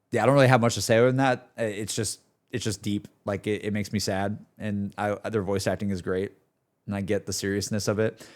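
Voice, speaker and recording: A man streaming casual, slangy, exclamatory reactions to a game as he talks to his viewers. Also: fairly low pitch.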